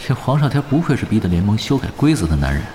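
A man's voice: on the low side, very animated, noisy background. An actor playing a laid-back, wry veteran male gamer, still young, in an audio drama, speaking without hurry. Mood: wry amusement. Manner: unhurried, wry.

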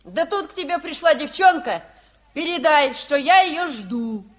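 A woman's voice: high-pitched, animated, a little slow, a bit bright. An actress in a Soviet radio play playing a chubby, book-loving young boy, blunt and naive. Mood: cheeky, wondering.

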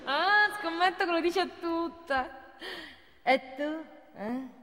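Casting: coy, excitable flirt on the phone, comic theatre, high voice, reverberant noisy hall. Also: female voice, takes it slowly, exaggerated voice. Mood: coy, teasing. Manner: coy, flustered, repetitive, giggly. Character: flirtatious, excitable woman on a first phone date. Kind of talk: comic theatre monologue as one side of phone call. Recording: echoey theatre hall, clear background noise.

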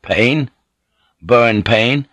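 A man voicing a blunt, affectionate foreign seafarer in an audiobook, speaking tersely and at times haltingly. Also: mid-range voice, a bit bright, no echo. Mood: halting, concerned. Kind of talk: audiobook character voice.

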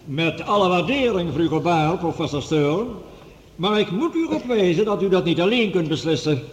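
A courteous radio-drama chairman with a fairly high voice. He is procedural and formal, heard in a reverberant hall over faint noise. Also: sing-song, normal speed. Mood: courteous, firm. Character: courteous, official male chairman of a world council. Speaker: meeting chairman. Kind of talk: radio play acting, formal.

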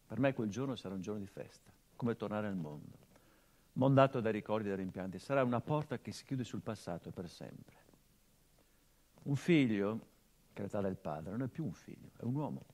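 A low-pitched middle-aged man looks back on his dead father in a stage monologue. He speaks in short, weighted phrases, restrained and bitter, leaning on key words. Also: a little mellow. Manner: measured, short weighted phrases with swelling emphasis. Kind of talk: theatrical monologue, reflective narration.

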